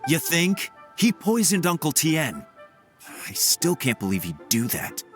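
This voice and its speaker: A man's voice: fairly high pitch, huge pitch swings, measured pace, fairly bright tone, heavily acted. An actor playing an ambitious young man in a game, speaking eagerly and boastfully. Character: ambitious young male clerk, confident. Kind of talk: game character dialogue. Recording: game audio with music behind.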